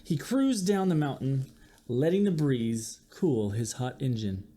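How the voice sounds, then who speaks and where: A teacher reading a picture book aloud to young listeners, expressively and with chatty asides. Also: mid-range voice. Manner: slow, expressive, with acted-out sound effects. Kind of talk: children's story read-aloud with asides to listeners.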